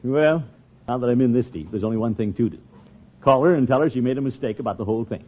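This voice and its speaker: An actor playing a vain, easily flustered middle-aged uncle in old radio comedy, with a blustery manner. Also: male voice, mid-range voice, fast, close to an everyday voice. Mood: sheepish. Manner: blustery, hesitant when cornered, chuckling. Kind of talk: 1940s radio comedy acting. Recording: very muffled old radio recording.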